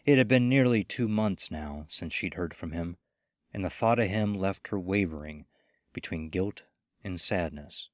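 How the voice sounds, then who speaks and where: A man's voice: deep voice, unhurried, dry recording, studio quality. A man reading a scripted horror story as its narrator. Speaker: audiobook narrator.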